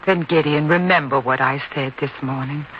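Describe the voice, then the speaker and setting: A woman's voice: sits low, moderate pace, natural voice. Woman playing a wise, admonishing mother, muffled recording. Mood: pleading, admonishing. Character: wise, gently admonishing mother of grown son. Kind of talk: old-time radio drama acting. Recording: dull, noisy old-time radio recording.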